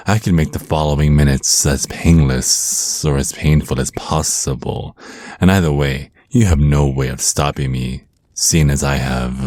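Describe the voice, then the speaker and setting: Male actor as menacing, teasing vampire naga overlord for ASMR roleplay: extremely deep, unhurried, flirtatiously smug. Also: a little mellow, no echo, full character voice. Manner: smug, teasing, slow deliberate menace with drawn-out words.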